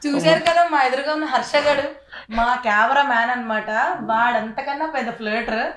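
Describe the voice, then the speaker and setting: A woman's voice: fairly high pitch, fairly quick, crowd noise. A young woman chatting casually as she hosts a prank video. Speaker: prank show host. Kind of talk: casual chat. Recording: echoey room sound.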